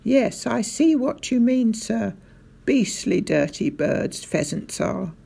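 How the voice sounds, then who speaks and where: A woman's voice: fairly high pitch, very animated, slow, vocal fry, mellow, breathy edge, pitched above the performer's own voice, heavily acted. Woman playing a hearty, sly village police sergeant. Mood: mock innocent. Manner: hearty, mock-innocent, drops aitches. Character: brisk, sly village police sergeant, mock-helpful man.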